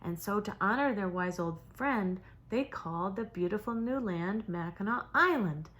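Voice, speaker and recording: A woman reads a children's story as a storyteller for young kids, in a dramatic manner with drawn-out words and hushed moments. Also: mid-range voice, animated. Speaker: storybook reader for preschoolers. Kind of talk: expressive children's story narration.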